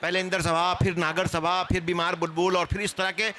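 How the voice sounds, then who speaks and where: Panelist, male. High, sharp-edged voice, scholarly explainer in live discussion. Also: level tone, brisk, slight background noise. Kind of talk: spoken panel discussion on theatre history.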